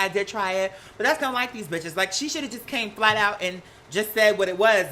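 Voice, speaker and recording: A live stream host with an American accent chatting casually and gossiping, sassy and animated, slangy and rambling from one aside to the next. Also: mid-range voice, slight background noise. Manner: sassy, slangy, peppered with "girl" and "honey". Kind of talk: casual live chat to viewers, gossipy commentary.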